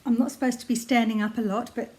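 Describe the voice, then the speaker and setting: An older woman with an Australian accent talks in a friendly, rambling way, pausing on ums and laughing softly now and then. Her voice sits a little high and sounds a touch croaky today. She is recorded close up on a tablet in her living room. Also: fairly even intonation. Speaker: knitting vlogger. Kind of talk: casual chat to camera. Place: at home in her lounge room, seated. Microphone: tablet camera close by.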